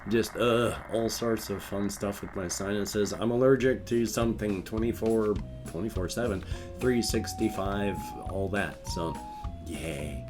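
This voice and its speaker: Brief: older male vlogger, stuffy nasal and wheezy, lowish voice, rambling and wry, guitar music behind. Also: a little slow. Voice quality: nasal, congested. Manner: rambling, self-mocking with flat "yay"s. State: wheezy from a cold. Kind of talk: casual solo vlog. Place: at home in his chair.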